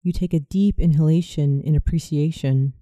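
A narrator guiding a scripted visualization meditation in a soothing, measured way. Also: mid-range voice, steady tone, mellow, no echo. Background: music.

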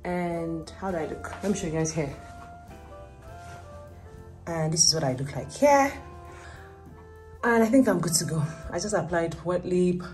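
A woman's voice: on the low side, a little slow, pauses often, a little mellow, faint music behind. A young adult woman with a Nigerian accent, chatting casually and expressively to her travel vlog on a phone. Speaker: vlogger. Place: hotel rooms, indoors. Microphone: phone at arm's length.

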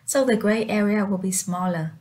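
A woman whose first language is Vietnamese, narrating a step-by-step software tutorial as its presenter. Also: mid-range voice, moderate pace.

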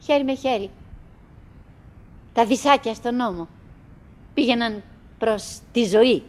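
An actress playing a wistful, chatty middle-aged woman in a radio drama monologue, halting and repeating herself theatrically. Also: a little high, lightly acted. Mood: insistent, remembering. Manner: halting, repetitive, dramatic shifts between musing and exclaiming.

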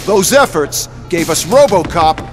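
A man's voice: sits high, animated, measured pace, music in the background. A man playing an arrogant, slick tech executive in a video game, speaking like a pompous salesman. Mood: proud, showy. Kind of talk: video game cutscene acting.

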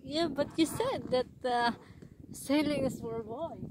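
A woman's voice: high-pitched, a little slow, background noise. A young Filipino-accented woman chatting casually to a handheld camera as she vlogs outdoors. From Philippines. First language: Filipino language. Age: young adult. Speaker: vlogger. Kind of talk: casual chat while filming. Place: outdoors on a sailboat and rocky shore. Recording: music over outdoor vlog audio. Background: loud music.

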